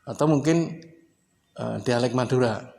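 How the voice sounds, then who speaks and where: A man from Indonesia explaining a language point in a casual teaching manner, unhurried and in short phrases. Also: mid-range voice, creaky, darkish tone. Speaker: English teacher.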